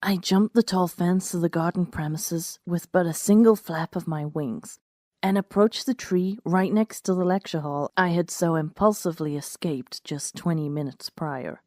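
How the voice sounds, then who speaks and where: A woman's voice: on the low side, normal speed, a little mellow, dry recording, clean studio sound. A woman narrating a scripted first-person story as the host of a reading series.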